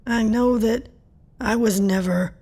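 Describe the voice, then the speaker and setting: Frail, breathy, slow-spoken dying woman. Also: fairly high pitch, dark tone. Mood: wistful, fading. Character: frail dying woman, tender, stubborn, teasing. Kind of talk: audio drama acting.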